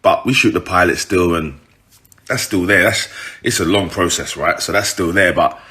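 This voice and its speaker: Man, British urban accent, very deep voice, forceful and bright, slangy casual storytelling. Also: normal speed. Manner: informal, slangy, sweary. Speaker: online comedian telling his story.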